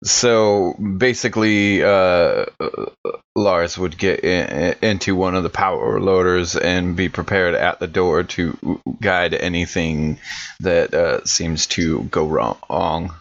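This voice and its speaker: A man playing a tabletop role-playing game in casual chat, halting and often repeating words mid-sentence. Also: low-pitched, creaky, highs cut off.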